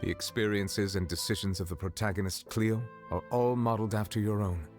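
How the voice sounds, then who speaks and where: An actor playing a stately, composed chief justice in a game, speaking in a measured, formal and courteous way. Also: male voice, on the low side, fairly even intonation, a little mellow, natural voice. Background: game music.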